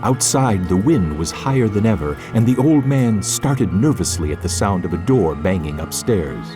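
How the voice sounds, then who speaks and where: A man narrating a literary story as part of a scripted audio drama. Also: deep voice.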